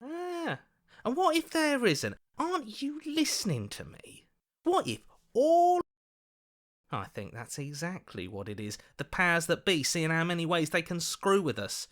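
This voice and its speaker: An actor playing a cynical, distrustful inner voice in a video game, bitter and sarcastic. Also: male voice, high-pitched, wildly swooping pitch, unhurried, fairly bright tone, full character voice. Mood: bitter, suspicious. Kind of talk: scripted game voice acting.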